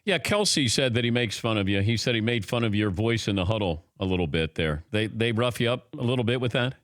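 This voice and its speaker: A man hosting a sports talk show, asking quick, playful questions in a chatty way. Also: on the low side, animated, dry recording, clean studio sound. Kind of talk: casual radio interview.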